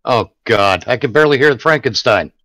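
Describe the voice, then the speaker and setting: A man's voice: medium pitch, lively intonation, fairly quick, sharp tone, no room sound. A man giving bawdy, mock-dramatic joking commentary as co-host of a listen-along.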